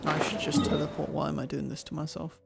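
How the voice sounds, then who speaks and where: Higher-pitched man, creaky, casual streamer. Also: little pitch movement, quick pace. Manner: muttered, fragmentary thinking aloud. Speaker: game streamer. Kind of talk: casual gameplay commentary. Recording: stream mic under loud game music.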